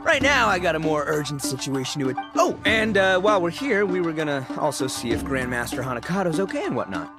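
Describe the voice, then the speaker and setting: A rowdy, swaggering young man from a video game. His delivery is theatrical and boastful, swinging wildly in pitch, with clear music behind him. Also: sing-song, a little fast, few pauses. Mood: boisterous, excited. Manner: loud, swaggering, slangy, theatrical. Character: boastful, rowdy, goofy young man, lovable gang boss.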